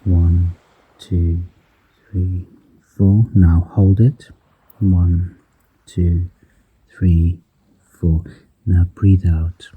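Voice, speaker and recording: A man guiding a breathing meditation in a slow, soft-spoken manner, counting the breaths aloud and dropping some counts to a whisper. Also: low-pitched, little pitch movement, slightly rough, phone-quality sound. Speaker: meditation guide.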